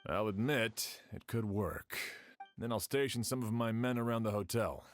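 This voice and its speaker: An actor playing a weary, fair-minded middle-aged sheriff in a video game, speaking with deliberate authority. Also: male voice, fairly low pitch, lightly acted. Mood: businesslike.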